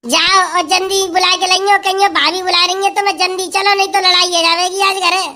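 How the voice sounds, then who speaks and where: A woman's voice: extremely high pitch, level tone, fairly quick, pushed and bright. A woman voicing a hungry, fed-up village wife who grumbles and scolds in a comic sketch. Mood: complaining. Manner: complaining, scolding, rustic chatter.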